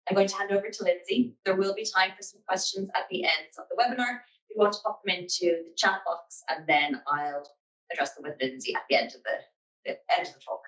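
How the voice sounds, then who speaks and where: A woman's voice: medium pitch, a little fast, fairly bright tone, recorded from a distance, poor audio. A woman hosting a webinar, reading a polished prepared introduction. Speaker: host.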